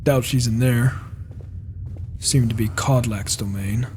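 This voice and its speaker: A man's voice: mid-range voice, fairly even intonation, slow pace, a little mellow, natural voice. A man roleplaying an impatient, proud, reclusive warrior in a video game, talking in a grumbling, questioning way. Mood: grumbling, determined. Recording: faint noise, rain behind, mic issues.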